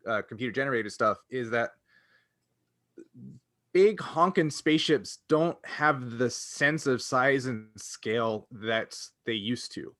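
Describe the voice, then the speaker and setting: Bright-voiced man, closely recorded and dry. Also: medium pitch, slow, no echo. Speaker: podcast co-host. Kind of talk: casual fan discussion.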